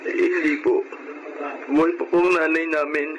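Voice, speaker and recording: A man's voice: on the high side, little pitch movement, normal speed, dull audio, lightly acted. A man playing an earnest, respectful eldest son in grief, in Filipino melodrama style. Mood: polite, subdued. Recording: heavy background noise, radio-like sound.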